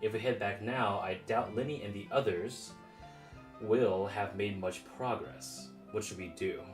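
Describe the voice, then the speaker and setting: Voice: deep voice, a little slow, soft background music. A game streamer giving casual, informal, slangy live commentary and reading game text aloud.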